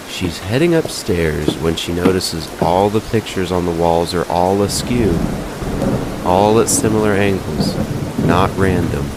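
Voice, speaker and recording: A man reading scripted ghost stories as a narrator, in a measured, deliberate way with pauses. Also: low-pitched, heavy background noise.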